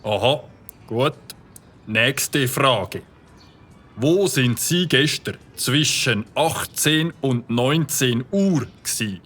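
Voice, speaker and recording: A stern, officious policeman, voiced by a man questions in a Swiss German accent. He speaks slowly and with authority, in a mid-pitched voice. The tone fits a children's audio drama. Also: lively intonation, fairly bright tone, full character voice. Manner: officious, commanding.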